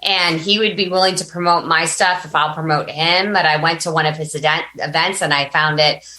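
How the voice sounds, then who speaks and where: A woman's voice: deep voice, steady tone, a little fast, pushed and bright, echoey room, rough recording. An American woman speaking as a podcast guest, telling personal stories in an informal, emphatic conversational way. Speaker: podcast guest, business coach. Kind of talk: conversational interview, personal anecdotes.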